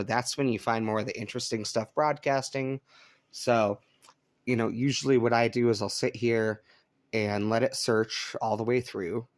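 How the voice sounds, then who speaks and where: Low-voiced easygoing man from Colorado. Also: smooth, dry recording, studio quality. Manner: easygoing and chatty, with frequent ums. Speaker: radio hobbyist channel host. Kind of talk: casual show-and-tell demonstration. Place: at home indoors beside his radio.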